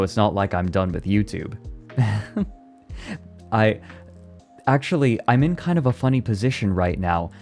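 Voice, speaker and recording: A man's voice: lowish voice, a little mellow. A young man chatting casually on a live stream, reading and answering his chat and laughing often. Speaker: VTuber streamer.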